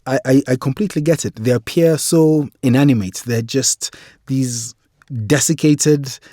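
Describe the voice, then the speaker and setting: A middle-aged man from Kenya, a surgeon guest on a podcast, telling stories in a relaxed, animated way and laughing easily. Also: mid-range voice, normal speed, no room sound, clean studio sound. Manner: animated, laughs easily, acts out voices in anecdotes. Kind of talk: relaxed conversational storytelling.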